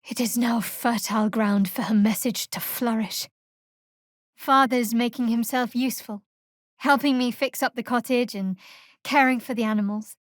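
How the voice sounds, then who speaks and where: A woman playing a guarded fantasy cleric, higher-pitched, close and dry. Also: no echo, natural voice. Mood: calm, composed. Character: guarded young female cleric of a dark goddess, dry and secretive. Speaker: video game character actor. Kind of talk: fantasy video game voice acting.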